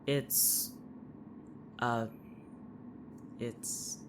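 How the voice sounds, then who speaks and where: A woman's voice: extremely slow, noisy background. A woman playing a defensive, foul-mouthed woman in an audio drama, combative in manner. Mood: shaken. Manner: combative, profane. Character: defensive, foul-mouthed young woman insisting she is real.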